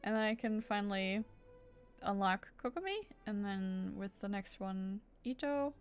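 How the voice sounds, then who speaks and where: A woman's voice: medium pitch, expressive, a little slow, clean tone, faint music behind. A woman streaming a video game with casual, playful commentary in short reactions.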